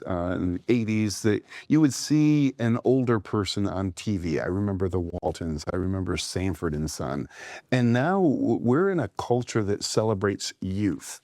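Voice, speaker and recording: A man in his fifties hosting a talk show in a TV studio, chatting in a relaxed way with filler words. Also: on the low side, dry recording. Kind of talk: casual studio interview.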